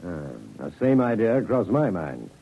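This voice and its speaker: An actor playing a wry, composed British police inspector in an old radio crime drama, speaking in a dry, musing manner. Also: male voice, on the low side, very animated, measured pace, breathy edge, exaggerated voice. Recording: dull vintage radio recording.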